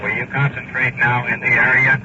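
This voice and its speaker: A man giving halting, official dispatch messages over a police radio on an old recording. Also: mid-range voice, flat delivery. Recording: muffled, very noisy police radio transmission.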